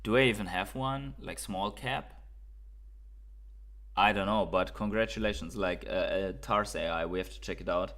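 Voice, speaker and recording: A man's voice: low-pitched, normal speed, fairly bright tone. A man from Germany with a German accent, hosting a casual crypto livestream, reading viewer comments and thinking aloud with fillers and repeats.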